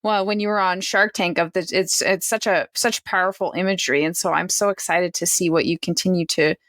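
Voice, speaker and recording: A woman's voice: lowish voice, fairly even intonation, brisk, fairly bright tone, no echo. Encouraging woman podcast host. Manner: encouraging, many "wow"s, some restarts. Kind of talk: interviewing.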